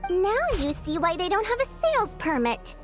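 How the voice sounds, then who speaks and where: A woman's voice: very high-pitched, big pitch swings, moderate pace, faint music behind. A woman voicing a small, wry, know-it-all companion in a video game, speaking in a matter-of-fact way. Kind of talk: video game character acting.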